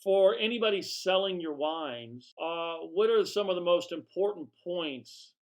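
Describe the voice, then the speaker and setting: A man interviewing his guests, asking halting questions padded with fillers. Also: high voice.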